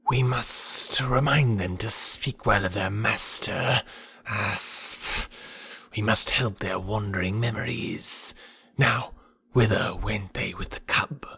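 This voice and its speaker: A man voicing a huge old python for a storybook reading, haughty and menacing, with a breathy, whispery rasp and drawn-out delivery. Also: on the low side, bright, forceful tone, no echo, heavily acted. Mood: cold menace. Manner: slow, drawn-out, deliberate. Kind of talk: audiobook character voice.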